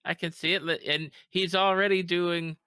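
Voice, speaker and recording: Podcast host guy, low but really bright crisp voice, bone dry mic, trips over his words a bit. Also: fairly even intonation, normal speed, dry recording. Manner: conversational, stumbling restarts. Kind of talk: casual interview chat.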